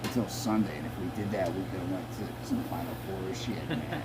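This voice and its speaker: A man with a New York accent and a hoarse voice, chatting casually and profanely as the host of the house, rambling through stories. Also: low voice, steady tone, fairly quick, a crowd in the background, poor audio. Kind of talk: casual late-night chat. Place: outdoors at a house with a hot tub.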